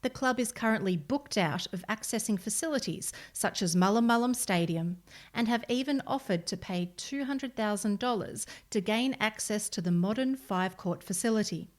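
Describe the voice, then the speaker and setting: A woman's voice: mid-range voice, moderate pace. Australian woman reading local news. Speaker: volunteer news reader. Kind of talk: scripted news article narration.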